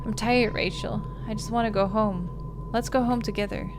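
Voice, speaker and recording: Woman acting a young girl, earnest, pleading, insistent, visual novel character, clear background noise. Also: mid-range voice, fairly even intonation, moderate pace, lightly acted. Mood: weary pleading. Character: young lost little sister, pleading and insistent.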